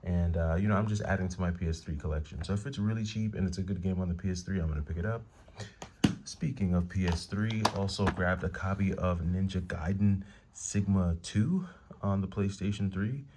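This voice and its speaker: A man with a deep, rumbling voice talking quietly at home late at night, casually showing the games he has picked up. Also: fairly quick, very noisy. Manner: quiet, hushed talk to avoid waking the family. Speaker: retro game collector vlogger. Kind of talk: casual haul show-and-tell.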